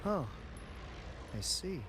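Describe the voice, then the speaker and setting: An actor playing a wry, casual academy insider with a teasing, expressive manner in a video game, with music behind him. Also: male voice, drawn-out words, noisy background. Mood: wry, candid. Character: breezy, wry adult male academy insider briefing an auditor.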